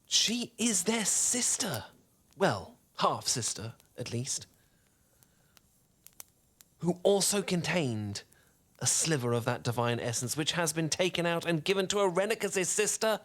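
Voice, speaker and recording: A man playing a charming, theatrical wizard who tells a bedtime tale softly and intimately, with dramatic pauses, in an ASMR roleplay style. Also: on the high side, exaggerated voice. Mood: dramatic storytelling. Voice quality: soft-spoken. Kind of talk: soft-spoken ASMR roleplay storytelling.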